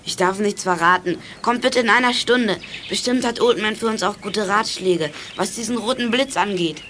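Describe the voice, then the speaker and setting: A woman's voice: mid-range voice, moderate pace, close to an everyday voice. Brash, cheeky boy detective voiced by a woman. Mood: brisk, confident. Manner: brash, slangy, teasing. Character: cheeky, plucky boy detective, always hungry. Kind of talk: 1980s children's radio play acting.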